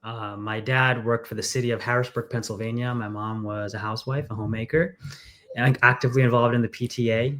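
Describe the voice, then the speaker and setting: A man talking through an interview in an earnest, storytelling way, often pausing on "uh" as he goes. His voice sits at an ordinary middle pitch for a man. He grew up in England. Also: steady tone, fairly bright tone. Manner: earnest, storytelling, frequent fillers. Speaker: congressional candidate. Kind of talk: conversational interview.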